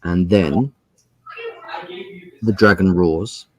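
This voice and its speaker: A man's voice: sits low, drawn-out words, dry recording. A man narrating a tabletop role-playing game as game master, describing scenes and asking the players for rolls.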